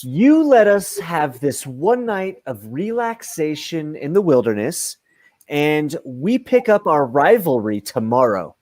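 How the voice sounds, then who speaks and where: Radio play camper hero, self-assured, very animated, dry sound; middle-aged male actor. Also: on the high side, takes it slowly, no echo. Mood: confident. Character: confident male camper hero striking deals. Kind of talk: audio drama acting. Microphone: video call.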